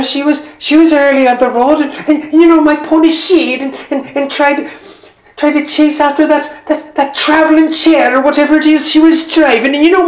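A storyteller voicing a chatty old man with a pony cart, talking in a high, stammering voice. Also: lightly acted. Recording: roomy echo.

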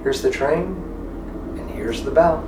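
Echoey, distant man with measured delivery. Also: on the high side, noisy background. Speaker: podcast host and storyteller. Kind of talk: reflective podcast talk with read-aloud essay. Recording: echoey room, distant from mic.

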